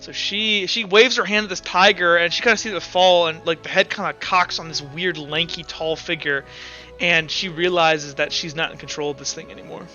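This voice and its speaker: A man with a fairly high, very bright voice runs a tabletop game in loose, improvised narration. The recording is a little dull and lo-fi, with faint music behind him. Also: fairly even intonation, moderate pace. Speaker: game master.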